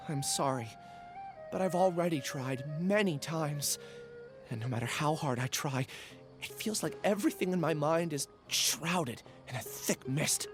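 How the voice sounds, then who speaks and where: A man's voice: fairly high pitch, lively intonation, a little slow, music in the background. An actor playing a confused young male researcher with lost memories in a game, hesitant and apologetic. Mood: troubled. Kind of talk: game voice acting.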